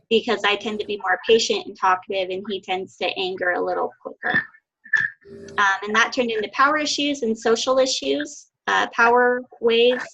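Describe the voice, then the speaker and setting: A young woman giving a class presentation over a video call, with a high voice and a slight lisp. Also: gravelly, bright, forceful tone, dull audio. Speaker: student presenter.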